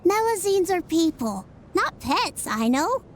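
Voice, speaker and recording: A woman's voice: extremely high pitch, sing-song, a little slow, background noise, full character voice. A woman playing a brainy nonhuman young female sleuth in a video game, earnest and methodical as she explains things precisely. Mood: bright, confident.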